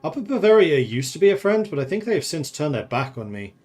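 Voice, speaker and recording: A man's voice: on the high side, sing-song, fairly quick, a little mellow, faint music behind. A man from the Netherlands who grew up in England, streaming a strategy game and thinking aloud in casual commentary, chatting with viewers and laughing often.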